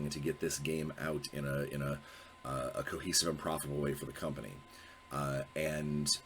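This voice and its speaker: A low-pitched man talks casually to camera as host of his own show. His voice is stuffy and sniffly from a cold, and he rambles, restarting phrases and filling gaps with ums and uhs. A faint hum sits behind him. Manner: rambling, self-correcting, many fillers. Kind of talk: casual solo video talk.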